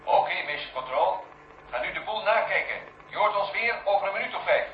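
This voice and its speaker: Echoey astronaut over a radio link. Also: male voice, sits high, expressive, fairly quick, lo-fi sound, a little hiss or hum. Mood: resigned. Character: Don, crisp, businesslike male astronaut in flight. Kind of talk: 1970 radio play acting.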